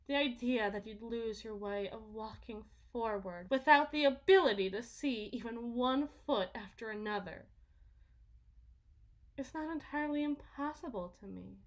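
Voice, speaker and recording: A woman playing a delusional, condescending, possessive wealthy mother in a theatrical ASMR roleplay, phrasing everything in a drawn-out, deliberate way. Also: fairly high pitch, lightly acted. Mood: condescending, chiding. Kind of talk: ASMR roleplay, dramatic monologue.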